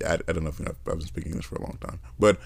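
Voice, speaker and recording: A man's voice: low-pitched, rapid-fire, creaky, no echo. A man hosting a podcast, chatting casually and acting out accents as he rambles through his ideas. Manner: lively, acts out accents, rambling. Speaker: podcast host. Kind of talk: casual chat.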